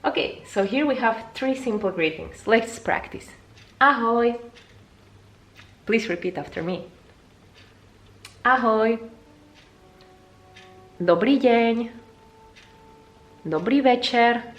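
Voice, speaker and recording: A woman's voice: a little high, takes it slowly, long pauses. Female teacher narrating a story lesson. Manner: relaxed, conversational narrating. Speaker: language teacher.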